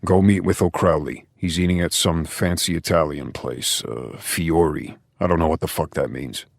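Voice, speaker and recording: A man voicing an excitable gang contact who talks in a rushed, swearing way. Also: rumbling low, gravelly, no echo, clean studio sound, heavily acted. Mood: excited.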